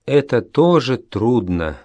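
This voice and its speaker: A man playing a polite young Japanese man named Tsubasa in a language-course dialogue, reading in a careful, deliberate way. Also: medium pitch, very animated, dark tone, dry recording. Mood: plain. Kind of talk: slow, clear textbook dialogue reading.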